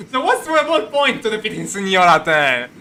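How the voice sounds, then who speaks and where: A man giving casual, halting, sweary reaction commentary as he plays a video game, sounding exasperated. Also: sits high, big pitch swings, pushed and bright, slight background noise. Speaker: game streamer. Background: game music.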